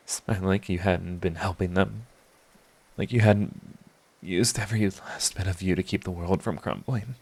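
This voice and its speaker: A man acting a sardonic supervillain secretly devoted to his rival, in an intimate, theatrical audio roleplay monologue with halting confessions. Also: lowish voice. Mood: bitter, outraged.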